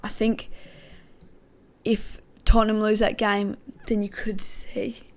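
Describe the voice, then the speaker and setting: A woman hosting a casual football podcast, her voice croaky, thinking aloud with many hesitations. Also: medium pitch, flat delivery, slow pace. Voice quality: gravelly. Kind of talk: casual pre-match football talk.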